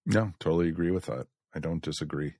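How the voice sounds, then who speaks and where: A man's voice: rumbling low, quick pace, dark tone, dry recording, studio quality. A man chatting casually as a panelist on a review podcast, giving his opinions in everyday talk. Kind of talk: casual chat.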